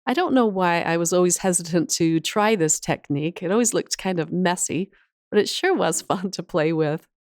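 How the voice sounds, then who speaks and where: A woman's voice: fairly low pitch, moderate pace, no echo, studio quality. A woman hosting a casual craft tutorial voice-over, chatty and enthusiastic, her voice slightly off from a cold and cough. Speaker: craft channel host.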